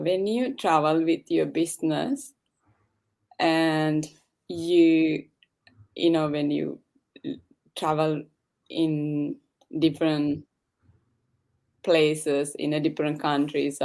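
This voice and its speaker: Slow, gravelly-voiced woman from Sri Lanka. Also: sits low, fairly even intonation, a little mellow. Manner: slow, hesitant, halting non-native English. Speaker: guest asking questions. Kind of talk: casual video call interview.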